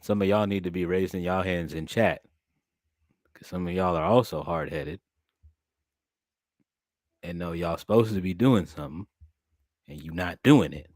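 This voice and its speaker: Low-voiced animated man testifying to chat. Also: moderate pace, long pauses, dry recording, clean studio sound. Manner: animated, preacherly, talks straight to chat. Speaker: streamer and podcast host. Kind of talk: casual livestream chat, preachy testimony.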